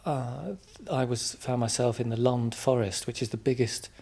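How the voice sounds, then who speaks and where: Englishman as interview guest: informal, thoughtful, halting delivery with repeated words. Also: medium pitch, steady tone, creaky, a little mellow, husky. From England, Midlands. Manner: hesitant, reflective, repeats words as he explains.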